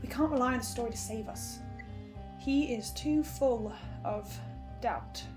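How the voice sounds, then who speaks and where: A woman playing an anxious, haunted young woman in an audio drama, halting and emotionally strained. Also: on the high side, over music, natural voice. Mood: grim, insistent. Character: anxious, haunted young woman fearing her own corruption.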